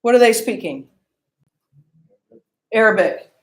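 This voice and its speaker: A woman's voice: a little high, animated, takes it slowly, roomy sound. A woman giving a classroom lecture, often asking the class questions and checking in with "okay?" and "right?".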